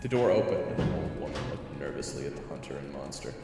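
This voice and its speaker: A man's voice: extremely low pitch, animated, quick pace, very echoey, big-room sound, music in the background. A man reading scripted story narration as the narrator of an audio roleplay.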